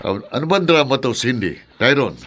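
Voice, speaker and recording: An actor with a Filipino accent playing a stern, quick-tempered middle-aged father, gruff and scolding, in a podcast drama. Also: mid-range voice, expressive, fairly bright tone. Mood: stern, suspicious.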